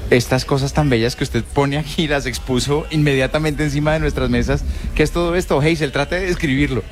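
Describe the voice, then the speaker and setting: A man's voice: a little high, fairly quick, faint music behind. A man hosting a live radio show, chatting and laughing easily with guests. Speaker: radio host.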